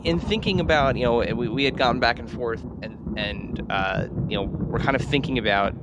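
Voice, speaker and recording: A man's voice: mid-range voice, normal speed, heavy background noise. A man hosting a podcast in casual chat, talking in rambling, hesitant phrases with repeated words. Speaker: podcast host.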